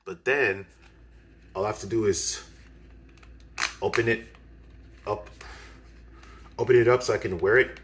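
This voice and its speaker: Male video host, lower register, informal gear walkthrough with repeated phrases, audible noise behind the voice. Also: fairly even intonation, a little slow, pauses often, a bit bright. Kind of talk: casual product demonstration. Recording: clearly noisy recording.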